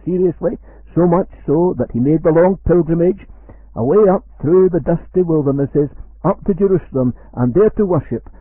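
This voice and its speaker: A man with a Scottish accent, giving an earnest, measured radio sermon. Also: fairly high pitch, husky, no echo. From Scotland. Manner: measured, earnest preaching, slows for quoted verse. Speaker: preacher. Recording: dry, tinny phone-like radio sound.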